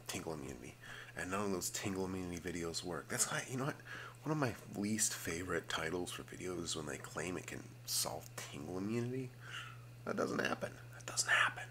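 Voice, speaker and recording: A man hosting a casual, rambling ASMR video, slipping between vocal fry and whispering and often repeating his phrases. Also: extremely low pitch. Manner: alternates hushed fry and whispering.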